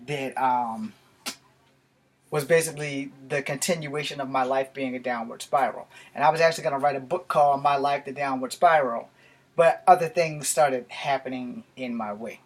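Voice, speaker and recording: An American man in his forties giving a rambling, casual vlog talk to camera in a small bedroom. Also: mid-range voice. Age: 40s. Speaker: YouTube vlogger. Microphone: camera a few feet away. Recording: noisy home camera recording with clicks.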